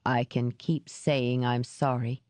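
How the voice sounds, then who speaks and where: A gruff, guarded male ex-cop, voiced by a woman narrator, pitched well below her own voice and speaking slowly with quiet weight. The recording is close and dry, with no room sound. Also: little pitch movement, no room sound. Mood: remorseful. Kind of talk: audiobook character reading.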